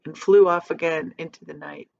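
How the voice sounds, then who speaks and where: A woman teacher reading a children's story aloud in a deliberate, dramatic manner. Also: low voice, fairly even intonation, creaky, darkish tone, no room sound, muffled recording. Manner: deliberate, dramatic, shows pictures to listeners.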